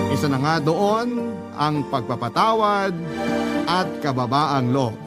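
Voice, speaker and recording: Actor as reflective male storyteller over loud music. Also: on the high side, natural voice. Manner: reflective, sincere. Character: humble, grateful man telling his life story. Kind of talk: first-person radio drama narration.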